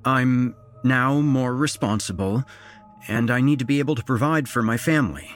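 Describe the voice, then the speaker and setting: A man's voice: mid-range voice, moderate pace, soft background music, pitched above the performer's own voice, lightly acted. A man voicing a frustrated underdog employee in a dramatized story, earnest and aggrieved. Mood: sheepish, earnest. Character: frustrated underdog male employee, pleading then exploding. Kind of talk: dramatized short story reading.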